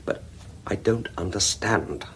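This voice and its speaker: An actor playing a distraught, wounded but loving husband in a radio drama, with an intense, pleading manner. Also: male voice, low voice, slow pace, slight background noise. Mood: tender, bewildered. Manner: intense, pleading, swinging from hushed to loud.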